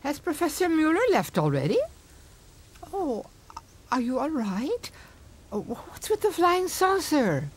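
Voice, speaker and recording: An actress playing a concerned, inquisitive sister in an audio play. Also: female voice, sits high, very animated, measured pace, pauses often, a little hiss or hum.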